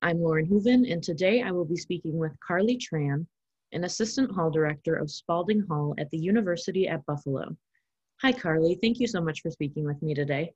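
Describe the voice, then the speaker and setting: A woman's voice: on the low side, brisk, a little mellow, slightly rough, muffled recording. A woman hosting an interview show, reading encouraging, scripted questions to her guest. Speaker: podcast host interviewer.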